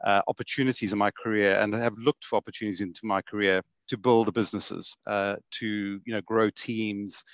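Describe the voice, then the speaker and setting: A man's voice: lowish voice, steady tone, fairly quick, hardly any pauses, no echo. A man with a South African accent, a guest on a video podcast, talking casually from his home study over a video call and often repeating words as he starts sentences. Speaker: guest, software sales executive.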